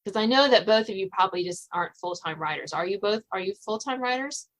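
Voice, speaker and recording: A woman's voice: mid-range voice, animated, quick pace, fairly bright tone. A woman podcast host chatting casually with guests, giggly and often interrupting her own sentences.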